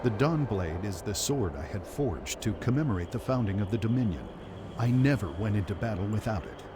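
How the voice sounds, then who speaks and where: An actor voicing a dignified older deposed ruler in a game, speaking in a measured, formal way. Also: male voice, on the low side, expressive, lightly acted. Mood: grave. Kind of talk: game cutscene voice acting. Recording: game audio with clear ambient noise.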